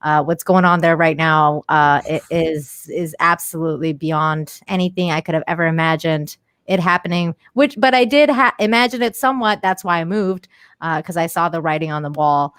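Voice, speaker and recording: A woman's voice: low voice, steady tone, normal speed, a bit bright, smooth, no room sound, clean studio sound. A woman in her thirties, from Iran and Canada, chatting casually as a panelist. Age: 30s. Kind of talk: casual chat.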